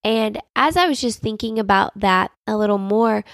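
Young woman, lilt, earnest podcast host, husky from a sinus infection, bits of vocal fry, dead-dry sound. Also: mid-range voice, normal speed, a bit bright, dry recording. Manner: chatty, earnest, lots of fillers like "um" and "like". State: recovering from a sinus infection, voice cutting in and out. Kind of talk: casual devotional podcast talk.

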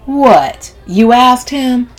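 A woman narrator voicing a brash, sharp-tongued, scornful woman in an audiobook story, with sassy and profane delivery. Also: fairly high pitch, lively intonation, extremely slow, voice pushed up, full character voice. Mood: sarcastic.

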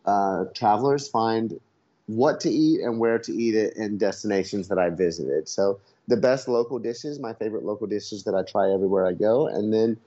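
A man's voice: lowish voice, moderate pace, dark tone, highs cut off. A man on a remote call as a podcast guest who blogs about food, chatting casually and laughing at himself. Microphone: Skype call.

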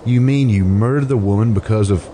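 A man playing an incredulous, blustering questioner in an old-time radio drama, stammering and forceful. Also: on the low side, brisk, close to an everyday voice. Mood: incredulous, alarmed. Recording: clearly noisy, background ambience.

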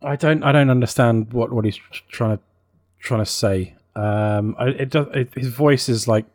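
A man's voice: mid-range voice, moderate pace, darkish tone, no echo. Man chatting casually, dry close sound. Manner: hesitant, thinking aloud. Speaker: podcast co-host. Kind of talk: casual chat about games and films.

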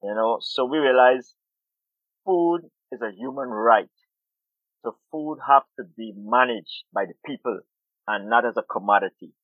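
A man's voice: mid-range voice, measured pace, no room sound. A middle-aged man from Guyana, speaking as an interview guest about farming, thoughtful and often repeating words as he searches for them. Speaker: urban farmer and food activist. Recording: tinny phone-like line.

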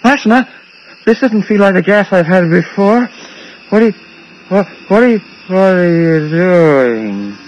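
An actor playing a nervous, apologetic, hesitant dental patient with a husky voice in a 1980s radio drama. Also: male voice, high voice, lively intonation, a little slow, a little hiss or hum. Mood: panicked. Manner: hesitant, stammering. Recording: dull old radio recording.

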